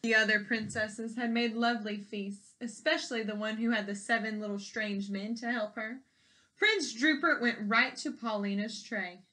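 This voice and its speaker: A woman reading a children's story aloud expressively, pausing in a teacherly way to ask young listeners simple questions. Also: on the high side, steady tone, bright, forceful tone. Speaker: storybook read-aloud reader.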